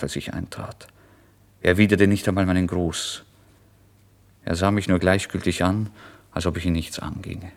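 A man giving a dramatic first-person literary narration in a theatrical manner that moves between hushed and excited. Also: low-pitched. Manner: theatrical, swinging from hushed murmurs to excited outbursts.

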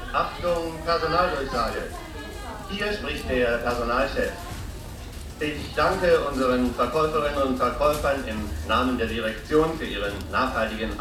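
An actor playing a formal, officious personnel manager in a radio play, making a stiff bureaucratic staff announcement. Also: male voice, mid-range voice, recorded from a distance, lightly acted. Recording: echoey public address, noisy background.

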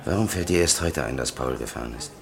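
An actor playing a blunt, impatient defender in a German radio crime drama, with a gravelly voice. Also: male voice, a bass voice, lively intonation, fast talker, full character voice. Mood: exasperated. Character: blunt, impatient older-style defender, exasperated with his workmates.

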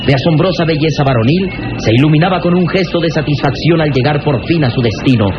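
A man narrating a 1960s radio serial in a florid, theatrical, deliberate style. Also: fairly high pitch. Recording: dull 1960s radio recording, loud music behind.

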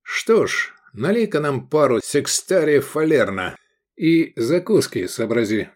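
A man voicing a sensible, bossy gladiator in an audiobook, brisk and quick to correct his friends. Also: on the high side, big pitch swings, voice pushed up. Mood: commanding.

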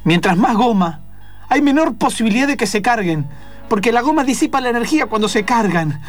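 A man's voice: very high voice, animated, fairly quick, soft background music. A man with an Argentine accent playing a naive, eager young adventurer in improvised comic radio theatre. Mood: explaining.

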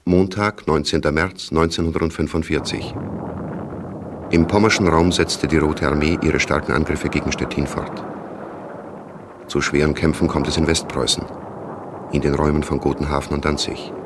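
Grave radio drama narrator, extremely deep, matter-of-fact, noisy recording. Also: male voice, steady tone. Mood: sober. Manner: measured, factual chronicle delivery. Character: sober male chronicler of wartime events. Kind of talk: scripted radio play narration. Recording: clear background noise.

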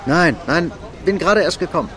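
A man's voice: fairly high pitch, lively intonation, a little fast, crowd noise, close to an everyday voice. Radio drama role, male actor: smitten, unsure middle-aged man, lightish voice, very varied intonation. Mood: hesitant, curious. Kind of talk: modern German radio drama acting.

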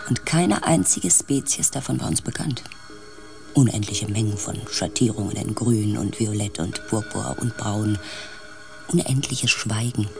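An actor playing a sober male expedition scientist in a radio drama, speaking in a measured, reflective and deliberate way. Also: mid-range voice, gravelly. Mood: wistful, calm. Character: sober expedition scientist, narrator of an alien planet journey. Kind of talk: scripted radio drama narration and dialogue.

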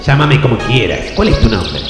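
A man's voice: mid-range voice, sing-song, normal speed, lightly acted. A man playing a friendly, boastful local cyclist in an improvised radio play, with an Argentine Rioplatense accent. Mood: laid-back, friendly. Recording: echoey hall with loud music.

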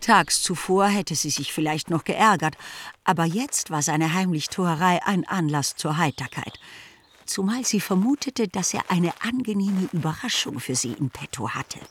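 A woman's voice: lowish voice, lively intonation, moderate pace, faint music behind. A woman reading scripted literary narration for a radio play in a composed storytelling manner.

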